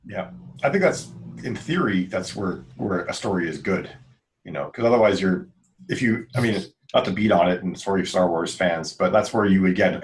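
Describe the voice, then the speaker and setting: A man with a deep voice leads a casual podcast chat at a quick clip. He rambles along in a jokey, informal manner. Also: rough recording. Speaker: podcast host.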